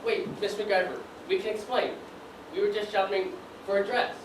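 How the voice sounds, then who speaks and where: Young guy acting a high-pitched bossy schemer. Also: fairly even intonation, moderate pace, lightly acted. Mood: sheepish. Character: bossy, nervous male schemer caught sneaking. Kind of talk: amateur stage acting, one-act school play. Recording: echoey stage, distant mic, clear noise.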